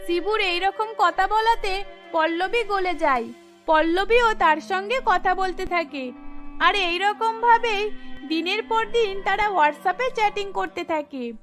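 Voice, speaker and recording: High-pitched woman narrating a cartoon story. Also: slow pace, a bit bright, soft background music.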